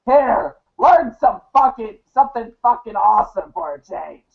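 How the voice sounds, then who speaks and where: Man playing a high-pitched abrasive foul-mouthed bully. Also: big pitch swings, a little slow, gravelly, exaggerated voice. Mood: aggressive, taunting. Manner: crude, taunting, sweary. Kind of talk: amateur read-along voice acting. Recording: thin phone-like sound.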